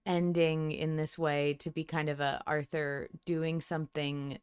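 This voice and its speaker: A woman's voice: sits low, little pitch movement, measured pace, smooth. A woman co-hosting a podcast in casual fan discussion, informal and sweary in how she talks. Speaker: podcast co-host.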